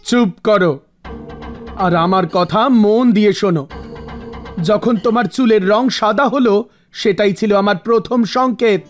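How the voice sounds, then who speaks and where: An actor voicing a stern, commanding male god of death who speaks formally and scolds with authority in an animated mythological story. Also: mid-range voice, unhurried, pauses often, faint music behind, natural voice. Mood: stern, rebuking.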